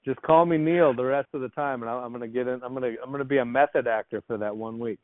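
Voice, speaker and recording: A man's voice: medium pitch, fast talker. A man co-hosting a sports podcast, chatting and joking with his partner. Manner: joking, banter. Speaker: podcast co-host. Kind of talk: casual sports podcast chat. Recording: tinny phone-like dry sound.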